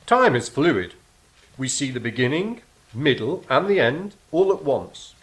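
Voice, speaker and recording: Actor as cynical angel, mid pitch, lively inflection, dry, sardonic, audio drama. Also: unhurried. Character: cynical, dry-witted angel Legna, gloomy about humankind.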